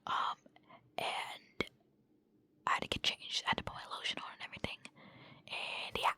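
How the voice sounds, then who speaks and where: A woman's voice: moderate pace, sharp tone, dry recording. A young woman whispering a rambling late-night vlog into her phone at home, casual and full of swearing. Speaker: vlogger.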